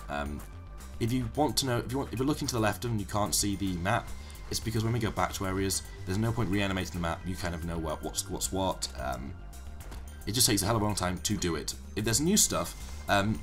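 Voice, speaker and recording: Young man, hoarse and low, casual let's play commentator who rambles and corrects himself. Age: young adult. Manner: rambling, self-correcting explanations. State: hoarse from illness.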